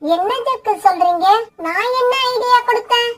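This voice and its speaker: A woman's voice: very high voice, moderate pace. A woman voicing a young female cartoon bird, an insistent, bossy and wheedling daughter and wife. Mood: eager, pleading. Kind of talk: children's cartoon voice acting.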